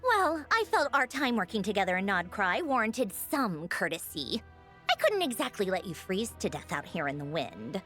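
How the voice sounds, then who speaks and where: A woman's voice: mid-range voice, sing-song, normal speed, fairly bright tone, faint music behind. A woman playing a haughty, refined noblewoman in a video game, dryly gracious with a teasing manner. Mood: haughty, put-upon. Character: haughty young noblewoman villain-turned-ally, dryly gracious.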